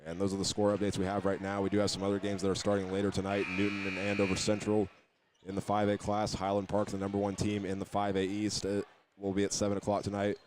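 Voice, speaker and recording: A man's voice: lowish voice, completely flat delivery, fast talker, a little mellow, slight background noise. An American man giving live basketball radio commentary as a play-by-play announcer, narrating each move steadily and methodically. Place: basketball game in a gymnasium.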